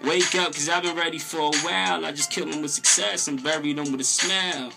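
A man's voice: on the high side, steady tone, brisk, vocal fry, over loud music. A young man from New York rapping freestyle in a brash, boastful, streetwise way on a close studio microphone. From Harlem, New York. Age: young adult. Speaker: guest rapper. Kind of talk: freestyle rap over a beat. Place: small radio studio. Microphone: close studio boom microphone. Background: hip-hop beat playing.

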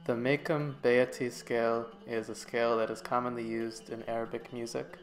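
A man's voice: medium pitch, flat delivery, normal speed, faint music behind. A young man presenting as a student, narrating a project demonstration in an informal, tentative way. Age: young adult. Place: voice-over to slides.

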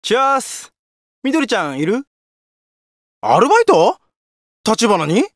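An actor playing a cocky, hot-blooded young masked hero in a video game, with brash, slangy delivery. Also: male voice, sits high, sing-song, unhurried, roomy sound, big-room sound. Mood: casual, teasing. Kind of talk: video game battle shouts and character lines.

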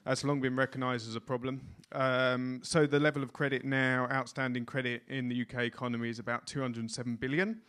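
A man's voice: mid-range voice, flat delivery, a little fast, few pauses, a bit bright. A man in the audience asking the panel a question without a mic, in a friendly, casual way. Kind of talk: spoken question from the floor. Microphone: no mic, speaking from the audience.

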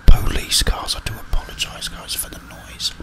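A man whispers breathily, muttering to himself as he gives hushed ASMR commentary on a video game, close to the mic. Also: normal speed. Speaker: ASMR gaming streamer.

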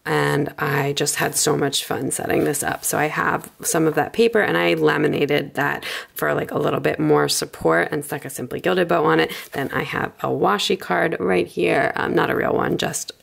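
Low, very creaky woman, slightly hoarse. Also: steady tone, moderate pace. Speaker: craft video creator. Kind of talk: casual planner flip-through commentary.

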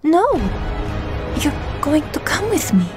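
A woman's voice: high voice, very animated, takes it slowly, full character voice. An actress playing a distraught woman in a motion comic, pleading in an emotional, melodramatic way. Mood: shocked, heartbroken. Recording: loud music under the voice.

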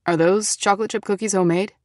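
A woman's voice: medium pitch, steady tone, fast talker, no room sound, clean studio sound, lightly acted. A woman voicing a casual, teasing teenage boyfriend in an audiobook story. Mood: reassuring. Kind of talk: audiobook character dialogue.

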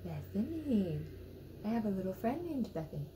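American lady doing kids storytime, super expressive swoopy pitch, slow n soft mellow tone, asks little questions. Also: slight background noise. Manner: expressive, simple questions addressed to young listeners. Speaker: storytime reader for children. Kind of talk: animated children's picture book read-aloud with asides. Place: indoor room beside a book display.

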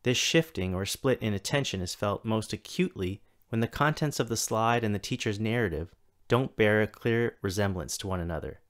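A man in his thirties reads a prepared keynote for a virtual conference. His voice sits a little low, and he takes his time, pausing between each item in a list. The delivery is steady and deliberate. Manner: measured, deliberate reading with list-like pauses. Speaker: keynote speaker, tech coordinator. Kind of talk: scripted keynote talk. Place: home or office, recorded for a virtual conference.

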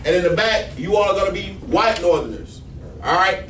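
High-voiced teacher in an echoey classroom. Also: male voice, lively intonation, brisk, fairly bright tone. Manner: directive, checks in with "all right?". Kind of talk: classroom instruction. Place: large classroom with students. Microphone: far camera at back of room. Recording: echoey classroom from far off, noisy.

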